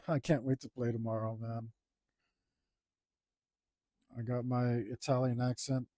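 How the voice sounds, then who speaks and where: A man talking casually to himself as he works on a digital drawing, mumbling in a relaxed way. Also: medium pitch, moderate pace, no room sound, clean studio sound. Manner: mumbling to himself, laid-back. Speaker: digital artist. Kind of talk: casual talk while drawing.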